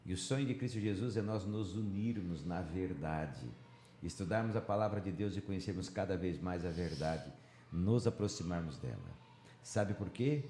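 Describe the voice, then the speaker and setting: Deep-voiced Brazilian man in echoey room. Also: moderate pace, warm tone. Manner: engaging, seeks agreement with tag questions. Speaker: Bible study host. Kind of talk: conversational teaching. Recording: roomy, echoing, faint background noise.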